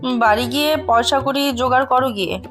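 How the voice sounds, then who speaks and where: A woman's voice: sits high, a little fast, a bit bright, soft background music, voice pushed up. A woman voicing a stern, brusque male lawyer who scolds and instructs with authority in an audio story. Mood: shrewd, instructing. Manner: scolding, commanding, clipped instructions.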